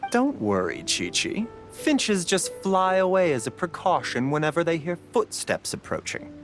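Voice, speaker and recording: A man playing a patient, reassuring doctor in a video game, soft-spoken, soothing and polite. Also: fairly high pitch, sing-song, normal speed, soft background music. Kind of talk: English video game voice acting.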